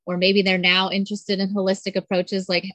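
A chatty woman hosts a podcast interview, her questions wandering and loose with easy laughter. Her voice has an ordinary pitch for a woman and sounds dry, with no room echo. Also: monotone, fairly quick, fairly bright tone. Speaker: podcast host. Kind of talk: casual interview chat.

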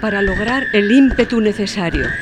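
A woman's voice: medium pitch, normal speed, heavy background noise. A woman narrator reading a scripted literary audio story. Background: music.

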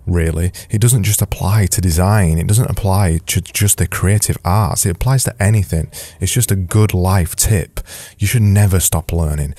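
A man's voice: low-pitched, mellow, dry recording, studio quality. A man with a Yorkshire accent giving informal solo advice as a podcast host, emphatic and repeating his key points. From Yorkshire, England.

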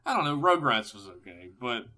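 A podcast guest in his early thirties speaks in a dry, sardonic way and often sounds incredulous. His voice is mid-pitched, bright and forceful. It is loose, casual chat. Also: big pitch swings, fairly quick. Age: early 30s.

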